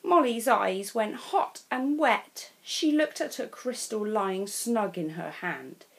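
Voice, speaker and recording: English woman, storyteller, children's book, slow, lively swooping pitch, fairly high voice. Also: vocal fry, low-quality recording. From England. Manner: slow, expressive read-aloud. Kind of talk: children's picture book reading.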